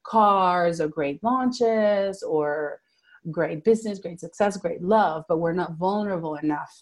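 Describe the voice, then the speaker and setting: Animated woman, lower voice. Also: unhurried. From Venezuela. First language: Spanish. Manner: animated, emphatic, frequent "like" fillers and restarts. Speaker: guest, manifestation coach. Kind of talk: casual interview talk.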